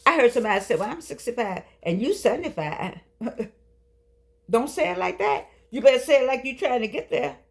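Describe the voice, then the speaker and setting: An older woman hosts her own show from home, coaxing and preaching in turns with a playful edge. She stretches words out for emphasis, and her voice sits a little high for a woman. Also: very animated, creaky. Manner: playful, preachy, coaxing, with dramatic drawn-out phrases. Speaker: host. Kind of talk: casual talk show monologue. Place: home studio with keyboard.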